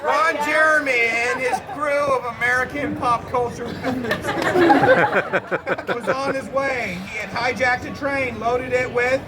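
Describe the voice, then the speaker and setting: High-pitched man storytelling over a loud crowd. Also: slow pace. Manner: amused, breaks off into asides. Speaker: storyteller hosting an audience word game. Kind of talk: live improvised comic storytelling to a crowd. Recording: loud crowd noise, roomy live event. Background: loud laughing crowd.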